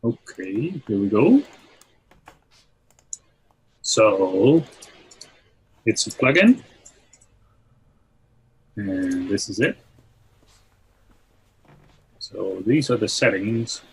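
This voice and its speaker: A man giving a deadpan, casual screen-share demonstration over a video call. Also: fairly low pitch, very slow, long pauses, slightly rough, rough recording. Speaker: guest plugin developer demonstrating.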